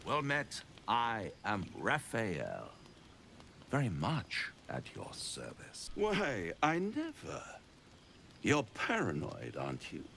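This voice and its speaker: A low-voiced, gravelly gentleman devil, suave and pompous. His slow, florid game-villain delivery swoops dramatically, dripping with sinister courtesy. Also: huge pitch swings, a little hiss or hum, exaggerated voice. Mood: mock disdain. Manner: florid, drawling, theatrically pompous. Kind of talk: video game cutscene acting.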